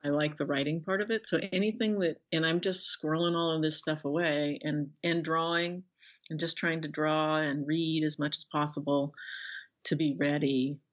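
A woman's voice: low-pitched, steady tone, moderate pace. A woman speaking casually as a guest author in an interview, thinking aloud in a rambling, self-effacing way. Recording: dull lo-fi call audio.

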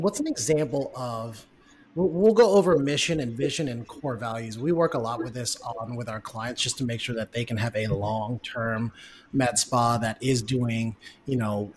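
A man's voice: mid-range voice, slight background noise. A man hosting a podcast, affirming his guests and asking them questions in an upbeat way. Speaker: podcast host.

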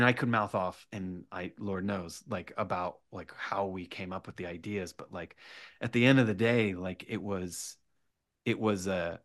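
A man speaking as a guest writer in a casual podcast interview, animated and rambling, halting often and swearing freely. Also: on the low side.

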